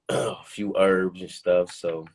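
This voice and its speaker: A man's voice: deep voice, extremely slow. Laid-back man hosting a live stream. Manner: relaxed slang, addresses viewers. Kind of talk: casual chat.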